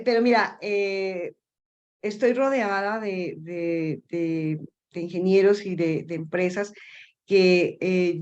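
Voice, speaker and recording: A middle-aged woman with a fairly low voice speaks as a guest in an interview. Her talk is casual and halting, with repeated words as she looks for the right one. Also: a little mellow, highs cut off. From Colombia. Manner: hesitant, searching for words, repeats words. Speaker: interview guest, energy company founder.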